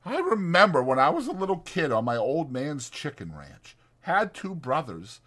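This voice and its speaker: A man performs a bitter, brooding stable hand from a dramatized audiobook, lonely and scornful. The voice sits high and swings widely, with bright, emphatic, folksy rural speech. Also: huge pitch swings, normal speed, exaggerated voice. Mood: dreamy, wistful. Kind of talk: dramatized audiobook character acting.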